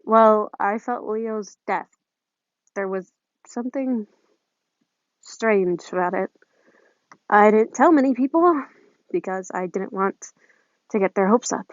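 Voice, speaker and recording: A woman's voice: mid-range voice, takes it slowly, long pauses, dry recording, natural voice. A woman voicing a guarded, moody teenage boy with a hesitant, defensive manner in a story reading. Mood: brooding. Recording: muffled phone recording.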